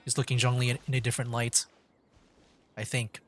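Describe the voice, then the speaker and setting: A man's voice: mid-range voice, level tone, brisk, soft background music. A man streaming a video game with casual, tentative reactive commentary, thinking aloud. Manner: musing, tentative.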